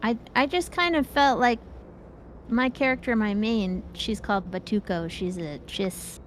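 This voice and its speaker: A woman's voice: fairly high pitch, measured pace, a little hiss or hum. A woman co-hosting a gaming show, chatting casually in a playful, rambling way while she plays. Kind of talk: casual chat while playing an online game.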